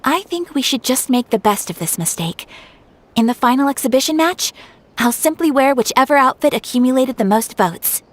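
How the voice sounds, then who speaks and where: An actress playing a gracious young noblewoman in an anime game, speaking calmly, formally and politely. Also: high-pitched, normal speed, no room sound. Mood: calm, reassuring.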